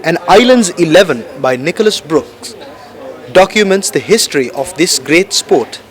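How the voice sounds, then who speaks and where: A man's voice: a little high, expressive. Male news reporter reading a script. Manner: formal, measured.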